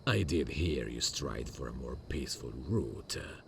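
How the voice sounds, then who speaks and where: A man playing a proud, charming demon king in an ASMR fantasy roleplay, speaking in a gravelly, grandiose, theatrical way. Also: rumbling low, expressive, a little hiss or hum, heavily acted. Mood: courteous, charmed. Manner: grandiose, theatrical, slow and deliberate.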